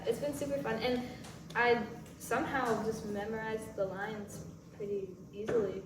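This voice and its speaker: Woman actor chatting off the cuff, rambly, far from the mic, noticeable noise. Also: mid-range voice. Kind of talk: casual Q&A chat. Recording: echoey room, distant mic, noisy background.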